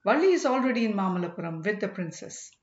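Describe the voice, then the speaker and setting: A woman's voice: mid-range voice, very animated, fast, natural voice. Woman voicing a devoted manservant, roomy sound. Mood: indignant, devoted. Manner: respectful, emphatic. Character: loyal male servant, plain-spoken, devoted, reassuring. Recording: roomy, slightly dull home recording.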